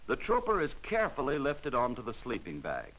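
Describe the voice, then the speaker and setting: An American man narrates in the style of a 1940s military instructional film. His delivery is formal and measured, with a mid-pitched voice that rises and falls in an animated way. It sounds like a vintage film soundtrack. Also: low-quality recording.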